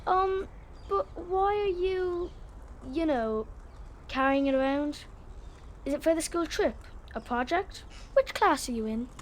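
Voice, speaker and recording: A woman's voice: high-pitched, lively intonation, unhurried, a little hiss or hum. A woman playing a chatty, dreamy, curious schoolgirl in a children's audio drama, with outdoor park sounds and birds behind her. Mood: friendly, curious. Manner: chatty, earnest.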